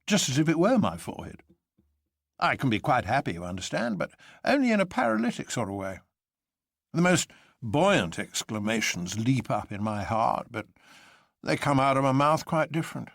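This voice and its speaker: A man voicing a gloomy professor from a storybook, who speaks slowly and sadly as a paralytic old man would, though merry inside. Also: medium pitch, dry recording, studio quality, pitched above the performer's own voice. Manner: slow, sad speech belying boyish merriment. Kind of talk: dramatised audiobook storytelling.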